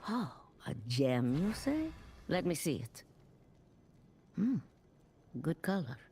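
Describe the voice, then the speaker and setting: A woman's voice: deep voice, sing-song, slow, vocal fry, dark tone, slight background noise, exaggerated voice. A woman voicing an obliging gem merchant in a video game, musing and appraising. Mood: curious, appraising.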